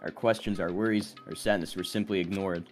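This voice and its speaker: A man's voice: sits low, fairly even intonation, brisk, natural voice. An actor playing an earnest, troubled teenage boy in a dubbed mystery video game, with music behind. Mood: brooding. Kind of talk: anime game dub, dramatic inner monologue and confrontation.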